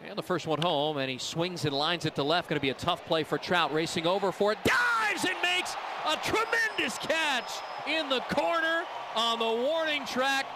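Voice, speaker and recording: A man's voice: very high voice, moderate pace, very noisy. An American man calling baseball play-by-play on the radio, his voice rising on big plays. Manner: excitable, voice rises on big plays. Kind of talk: live baseball radio commentary.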